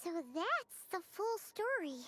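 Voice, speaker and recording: A woman voicing a tiny, excitable childlike fairy companion in a video game, chirpy and exclamatory. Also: very high-pitched, takes it slowly, soft background music, exaggerated voice. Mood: eager.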